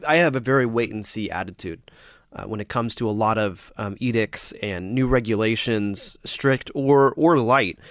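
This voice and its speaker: A man's voice: mid-range voice, no echo. A man from the United States co-hosting a podcast, talking casually with many fillers and restarts. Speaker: podcast co-host. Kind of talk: casual chat.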